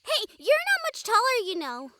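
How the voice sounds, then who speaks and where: Woman acting a very high, bubbly harpy girl. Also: huge pitch swings, normal speed, background noise, heavily acted. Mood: curious. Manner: eager, girlish, bubbly. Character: excitable, kind-hearted young female harpy, very tall. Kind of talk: audio roleplay acting.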